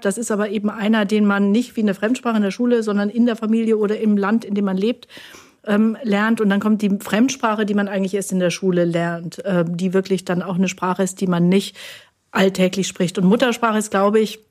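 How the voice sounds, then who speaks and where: Measured woman explaining like an academic. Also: medium pitch, flat delivery, darkish tone. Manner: measured and explanatory, with tag questions. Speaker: university linguist, interviewee.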